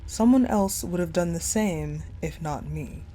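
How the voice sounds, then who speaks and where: Woman acting a slow, low-voiced, possessive ice general. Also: natural voice. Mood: cold, resolute. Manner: slow, hushed, deliberate storytelling with pauses. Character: cold, possessive ice general confiding in her captive beloved. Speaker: voice actor in audio roleplay. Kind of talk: intimate dramatic audio roleplay monologue. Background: music.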